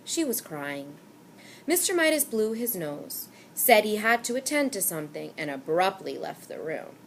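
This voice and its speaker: A woman reading a children's novel aloud with expressive storytelling. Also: medium pitch, normal speed, gravelly, a little hiss or hum. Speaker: reader.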